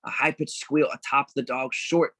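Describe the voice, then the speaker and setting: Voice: mid-range voice, fairly even intonation, moderate pace, bright, forceful tone, no room sound. Dry-sounding table-read narrator of stage directions.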